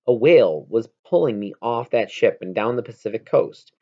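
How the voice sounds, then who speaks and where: A man reading a children's novel aloud as narration, in an expressive, amateur read-aloud manner. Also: mid-range voice, moderate pace, highs cut off. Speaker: audiobook reader.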